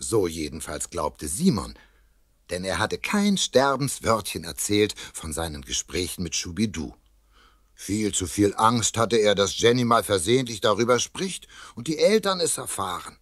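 An actor playing a chatty, confiding storyteller, narrating a children's radio play in a husky voice. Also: male voice, lowish voice, very animated, moderate pace, fairly bright tone, no echo, studio quality, full character voice. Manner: conversational, confiding. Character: chatty, cosy male storyteller addressing young listeners.

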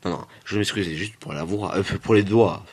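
Very deep-voiced man rambling over gameplay. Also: moderate pace, breathy edge. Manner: repeats himself and digresses, joking with viewers. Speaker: gaming video host. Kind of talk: casual, rambling gameplay commentary.

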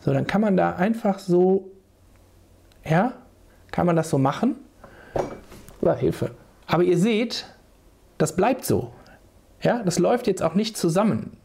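Hoarse, gravelly man with a cold. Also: sits high, unhurried, pauses often, a little mellow. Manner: chatty, restarts sentences, addresses viewers directly. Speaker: beekeeper. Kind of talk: casual how-to video. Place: small home room.

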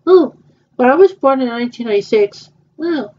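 A woman in her twenties with a fairly high, mellow voice. She talks haltingly, stopping and restarting with fillers. The sound is muffled and lo-fi, like a cheap home recording. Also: animated. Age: 20s. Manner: hesitant, halting, many repeats and fillers. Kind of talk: improvised fan roleplay dialogue.

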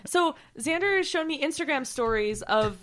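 A woman's voice: sits high, animated, normal speed, a bit bright. A woman chatting informally on a podcast panel, with slangy phrasing. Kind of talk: casual chat.

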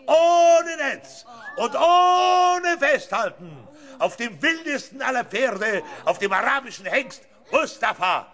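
An actor playing an excitable male circus ringmaster in a 1970s children's radio play, with grandiose, theatrical showman's patter. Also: extremely high pitch, full character voice. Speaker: circus announcer.

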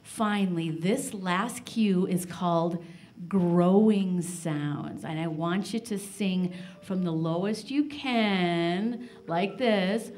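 Slow-paced woman hosting a children's show. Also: fairly low pitch, a little mellow. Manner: instructive, encouraging the audience to join in. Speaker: host and narrator. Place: live performance in a library room before an audience.

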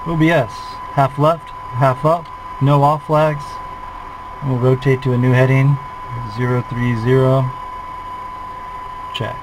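A man's voice: medium pitch, little pitch movement, takes it slowly, long pauses, noisy background. Man calling out a cockpit checklist. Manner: clipped, methodical checklist callouts. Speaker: flight instructor. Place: small aircraft cockpit.

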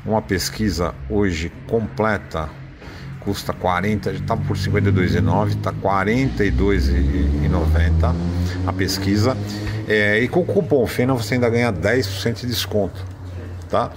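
Chatty Brazilian man, lowish voice. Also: fairly even intonation, normal speed, loud music behind the voice. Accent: Brazilian Portuguese. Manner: chatty, informal, thinks aloud with fillers. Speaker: car channel host. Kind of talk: casual screen-share walkthrough.

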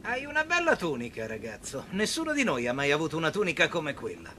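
A man voicing an envious, spiteful young brother in an animated film dub. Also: on the high side, fast talker, a little hiss or hum, heavily acted.

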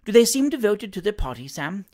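Mild, genteel older gentleman for a storybook, played by a man, innocently curious, courteous and measured, with expressive pitch. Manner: polite, measured, wondering.

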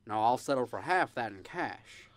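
An actor playing an eager, restless young small-town man in a radio drama, earnest and halting. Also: medium pitch, expressive, no room sound, close to an everyday voice. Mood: animated, casual. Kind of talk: old-time radio drama acting.

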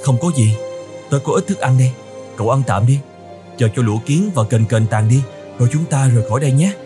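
A man voicing a calm, kindly antelope in a cartoon, speaking in a steady, reassuring manner. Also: medium pitch, brisk, music in the background, close to an everyday voice. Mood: kindly advice. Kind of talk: cartoon voice acting.

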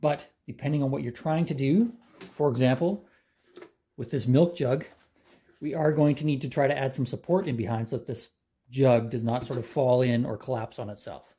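A middle-aged man walks through a repair step by step. His voice is mellow and a little husky, with an easy, unhurried delivery. He sounds like he is chatting while his hands stay busy. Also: medium pitch. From Canada. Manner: relaxed, plain-spoken, talks while working. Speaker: DIY channel host. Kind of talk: hands-on how-to demonstration. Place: garage workshop.

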